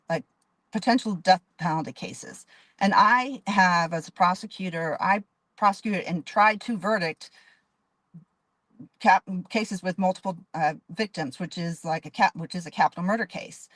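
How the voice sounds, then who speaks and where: Low-voiced earnest woman judge. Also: fairly bright tone, no room sound. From Dallas, Texas. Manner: earnest, detailed, frequent restarts. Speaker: judge and candidate. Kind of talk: interview answers.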